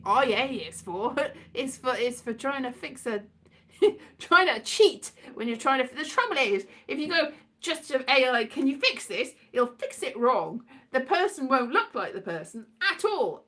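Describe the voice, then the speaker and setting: Scatty, high-voiced woman rambling casually. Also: fairly bright tone. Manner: scatty, digressive, trailing off and repeating words; playful, acts out quotes in put-on voices.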